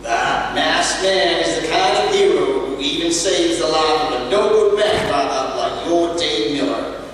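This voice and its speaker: A man's voice: a little high, measured pace, close to an everyday voice. A man playing a solemn, admiring townsman in an old-time radio western. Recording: distant echoey hall with background noise.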